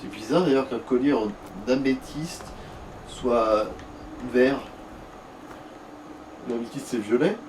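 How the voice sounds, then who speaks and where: A man's voice: mid-range voice, slow pace, pauses often, distant mic. A man keeping a gaming companion company with casual chat and dry, mock-serious quips. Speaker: let's play companion. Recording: off-mic, faint background noise.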